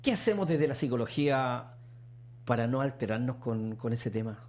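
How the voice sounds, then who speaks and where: A middle-aged man with a Chilean accent, a radio host chatting casually and telling a personal story. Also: normal speed. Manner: storytelling, acts out quotes with animated pitch jumps.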